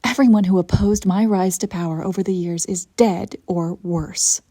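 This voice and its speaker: A woman playing an imperious evil empress in an audio roleplay, coolly seductive and menacing, with theatrical, deliberate phrasing. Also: on the low side, fairly even intonation, mellow, no room sound, clean studio sound, close to an everyday voice. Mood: taunting, intimate. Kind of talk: scripted audio roleplay acting.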